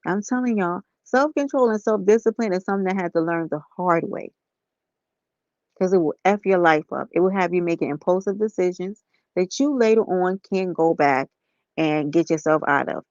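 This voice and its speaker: A woman, slightly hoarse, talking softly and casually to viewers on a live stream from bed. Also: on the low side, no room sound, muffled recording. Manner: soft-spoken, talks straight to viewers as y'all and honey.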